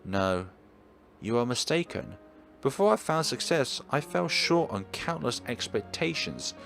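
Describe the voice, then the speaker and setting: A man voicing a guarded young female singer who speaks in a formal, measured way. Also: medium pitch, very animated, creaky, a bit bright, soft background music, heavily acted. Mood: reserved.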